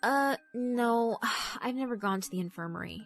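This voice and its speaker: A woman's voice: a little high, normal speed, vocal fry, soft background music, pitched above the performer's own voice. A woman voicing a timid, frightened schoolboy in a horror visual novel, hesitant and stammering. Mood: uneasy.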